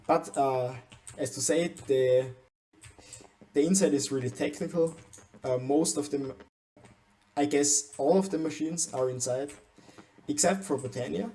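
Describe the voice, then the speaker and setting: Hesitant young Austrian man, dark voice. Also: a little high, unhurried, pauses often. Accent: Austrian German. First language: German. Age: young adult. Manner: hesitant, frequent restarts and stumbles. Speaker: gaming channel host. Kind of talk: game base tour voiceover.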